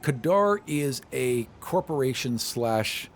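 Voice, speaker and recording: A man's voice: mid-range voice, animated, slow, slight background noise. A man narrating and explaining a tabletop game as game master.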